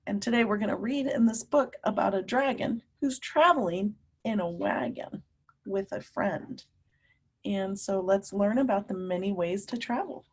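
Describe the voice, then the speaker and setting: A woman teacher reading a picture book aloud to young children, animated and emphatic, often putting questions to her listeners. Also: mid-range voice. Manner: animated, emphatic, drawn-out words, coaxing young children along.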